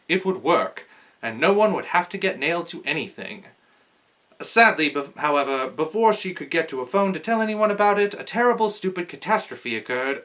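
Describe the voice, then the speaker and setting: A man with a fairly high, bright voice presents and reads aloud to viewers. His pitch swings widely as he goes. The delivery is articulate and theatrical, like a keen amateur storyteller. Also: rough recording. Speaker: vlog host reading aloud from a novel. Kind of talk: book read-aloud with casual address to viewers.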